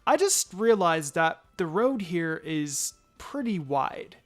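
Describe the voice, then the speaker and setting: A man with a fairly high voice narrates a first-person tale in a dramatized way. His pitch moves around a great deal, and music plays behind him. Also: big pitch swings, slow. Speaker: fan fiction reader. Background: background music.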